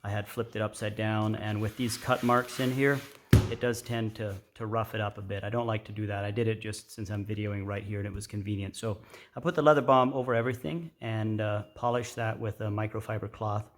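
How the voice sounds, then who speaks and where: Man, middle-aged, lowish voice, informal craft tutorial, unhurried explaining, background noise with clicks. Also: fairly even intonation. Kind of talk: casual hands-on tutorial narration. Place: indoor workshop at a workbench.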